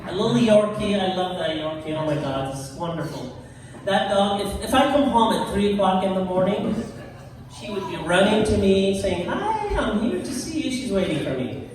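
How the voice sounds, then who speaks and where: A man's voice: high-pitched, big pitch swings, background noise. An older man from Iraq with an Iraqi accent, performing a self-deprecating stand-up routine in a bar and chuckling through his punchlines. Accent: Iraqi Arabic. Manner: self-deprecating, unhurried punchlines with laughing asides. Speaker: stand-up comedian. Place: bar stage. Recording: echoey bar from far off.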